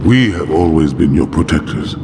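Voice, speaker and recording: A man's voice: rumbling low, animated, moderate pace, background noise. A man voicing a stern, grim alien warrior with a terse, solemn, controlled delivery. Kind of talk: video game cutscene acting.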